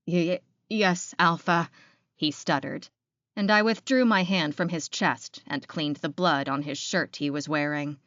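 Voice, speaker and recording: A woman's voice: sits low, moderate pace, fairly bright tone, no room sound, dull audio. A woman reading scripted first-person fiction as an audiobook narrator.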